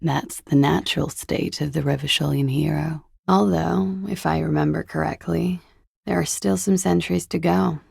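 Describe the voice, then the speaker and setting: Husky, creaky, world-weary young expatriate. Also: female voice, sits low, steady tone, normal speed, a little mellow, no echo, exaggerated voice. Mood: wry, teasing. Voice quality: husky, gravelly. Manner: dry, languid, ironic. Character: cool, world-weary young expatriate woman, wryly teasing. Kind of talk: video game character dialogue.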